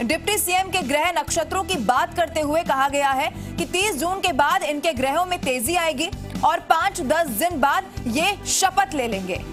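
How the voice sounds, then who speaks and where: Female Indian news anchor, very high voice, formal broadcast delivery over a light music bed. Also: expressive, moderate pace. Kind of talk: broadcast news reading and questioning. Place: TV news studio.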